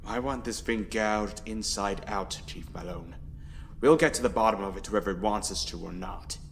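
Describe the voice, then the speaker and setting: A man acts as an authoritative, fatherly captain of a starship in a sci-fi audio drama. His voice sits fairly low, calm and in command. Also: moderate pace, slight background noise, lightly acted.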